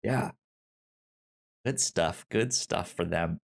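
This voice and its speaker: A man's voice: low-pitched, slow, creaky. A man co-hosting a podcast, chatting casually with geeky enthusiasm and often circling back to rephrase himself. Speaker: podcast co-host. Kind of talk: casual chat about comics and shows.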